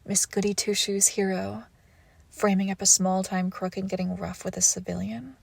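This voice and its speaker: A woman playing a seductive, menacing villain crime boss and wounded ex-lover in an intimate audio roleplay, commanding and breathy, often dropping into whispers. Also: mid-range voice, flat delivery, normal speed, dry recording. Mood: cold, probing.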